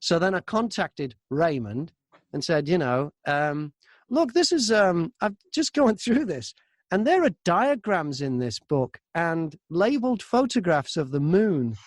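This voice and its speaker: A man's voice: a little high, big pitch swings, measured pace, no echo, studio quality. A man who narrates audiobooks, chatting casually as an interview guest and acting out his thoughts and messages as he tells stories.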